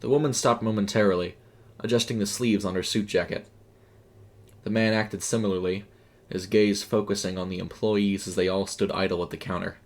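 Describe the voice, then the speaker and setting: A man reading a scripted horror story in a measured, suspenseful way. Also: fairly low pitch, steady tone. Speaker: audio fiction narrator. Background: music.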